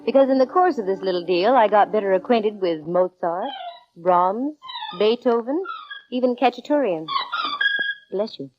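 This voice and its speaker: A woman acting a breezy female private eye in a 1940s radio play. She tosses off wry, flippant quips in a mid-pitched voice. The recording is dull and vintage. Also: animated, normal speed, a little hiss or hum, close to an everyday voice. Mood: wry storytelling.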